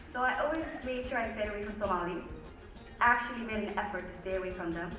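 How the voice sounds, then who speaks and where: A woman's voice: medium pitch, fast. A young woman giving a candid personal speech to an audience, chatty, with rhetorical questions. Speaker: guest speaker. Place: event hall. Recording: roomy hall from a distance.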